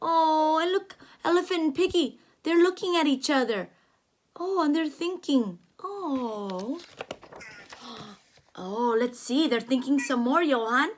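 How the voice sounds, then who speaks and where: A woman reading a picture book aloud to a young child, animated and gently coaxing, with frequent delighted gasps. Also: sits high, takes it slowly. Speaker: read-aloud storyteller.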